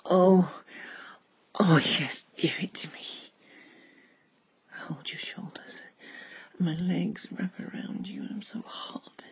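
Female, very breathy and slow, mostly whispered, intimate adult audio narration. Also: on the low side, steady tone. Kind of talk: intimate whispered erotic audio roleplay, second-person narration.

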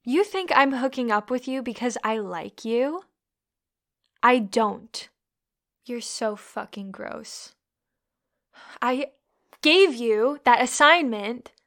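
A woman's voice: a little high, takes it slowly, fairly bright tone. A young woman playing a scornful, bullying college woman who taunts and swears constantly. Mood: angry. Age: young adult. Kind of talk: audio roleplay.

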